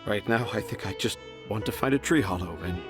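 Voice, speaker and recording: A man playing a remorseful, self-deprecating father in a video game, speaking in a halting, apologetic way. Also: fairly low pitch, over music, lightly acted. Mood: ashamed, dejected. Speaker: voice actor in a game. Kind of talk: emotive video game story dialogue.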